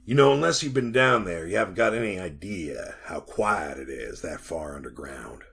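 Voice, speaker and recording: An actor playing a frightened, trapped miner in a 1940s-style radio horror drama, husky and plain-spoken in a folksy way. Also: male voice, low-pitched, lively intonation, moderate pace, a bit bright, heavily acted. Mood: hushed dread. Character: trapped, frightened miner telling his haunted tale.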